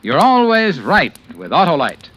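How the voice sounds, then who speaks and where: A man's voice: a little high, wildly swooping pitch, a little slow, a bit bright. A man announcing a 1940s radio commercial in a punchy, polished salesman's delivery. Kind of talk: 1940s radio advertising pitch. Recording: noisy vintage radio broadcast.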